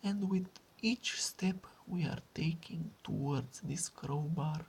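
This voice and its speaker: A woman leading a guided meditation in a hushed, breathy, husky voice that often slips into a whisper, with soothing repetition. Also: low voice. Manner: slow, soothing delivery with long pauses and repetition.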